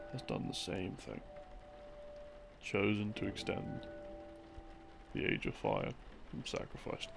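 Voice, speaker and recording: A man telling a story in a rambling, intimate boyfriend-roleplay style, halting and musing as his thoughts trail off. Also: lowish voice, gravelly. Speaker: audio roleplay performer playing a boyfriend. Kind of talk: rambling, improvised intimate storytelling of game lore. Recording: music behind the voice. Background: slow game theme music.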